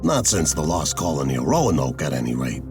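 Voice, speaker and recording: A man's voice: very deep voice, sing-song, a little fast, music in the background. An actor playing a wry private detective narrating his case in an audio drama, dry and colloquial. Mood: reflective, dry. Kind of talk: audio drama acting and first-person narration.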